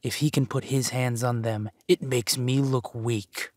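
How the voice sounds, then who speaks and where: A man acts out a ruthless warlord duke in a fantasy audio drama. He takes his time over every phrase with possessive menace, in a mid-pitched voice, recorded close and dry. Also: fairly even intonation, darkish tone, no room sound, natural voice. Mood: angry, menacing. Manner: menacing, slow, deliberate phrasing. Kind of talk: dramatic villain roleplay audio.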